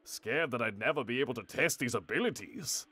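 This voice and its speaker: Suave, theatrical android villain, swooping pitch. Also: male voice, expressive, brisk, sharp tone, breathy edge. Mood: gleeful, grandiose. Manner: grandiose, flirtatious, purring. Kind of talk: cartoon parody dub.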